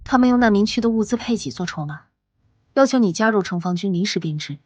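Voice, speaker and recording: Woman as resistance fighter, earnest, worried, husky, animated intonation, animated drama. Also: medium pitch, quick pace, dry recording, lo-fi sound, close to an everyday voice. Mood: worried, urgent. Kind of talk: dramatic voice acting for animated comic. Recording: faint music behind the voice. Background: music.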